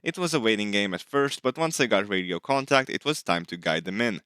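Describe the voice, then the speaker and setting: A man's voice: fairly low pitch, lively intonation, brisk, a bit bright, smooth, dry recording, studio quality. A man giving a scripted voice-over narration, recapping his own gameplay as a flight sim hobbyist.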